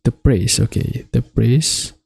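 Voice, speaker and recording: Slow, gravelly man. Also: mid-range voice, darkish tone. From Indonesia. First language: Indonesian. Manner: halting, hesitant non-native phrasing. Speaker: coding tutorial host. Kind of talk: screen-recorded coding walkthrough.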